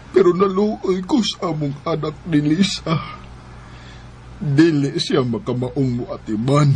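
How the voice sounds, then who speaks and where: A man with a Bisaya accent playing a dying, repentant father in a radio drama, with halting, pleading, emotional delivery. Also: on the high side, a little mellow, a little hiss or hum. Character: dying repentant father and husband, weak and tender. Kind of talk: Philippine radio drama acting.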